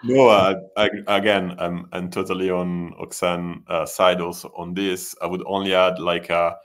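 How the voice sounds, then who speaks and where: A man's voice: low-pitched, little pitch movement, moderate pace, fairly bright tone. A man whose first language is Italian, speaking as a panelist in a discussion, thoughtful and hesitant with frequent restarts.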